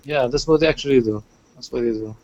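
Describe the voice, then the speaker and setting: A man's voice: medium pitch, fast talker, darkish tone, highs cut off. A man from Morocco with a Moroccan accent, a native Arabic speaker talking English in a casual chat, hesitant, with frequent restarts.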